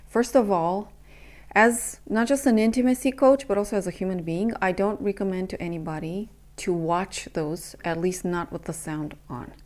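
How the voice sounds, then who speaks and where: A young adult woman from Romania, a coach, speaking earnestly in a halting personal monologue with many pauses and fillers. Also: mid-range voice, a little mellow. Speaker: intimacy and breathwork coach.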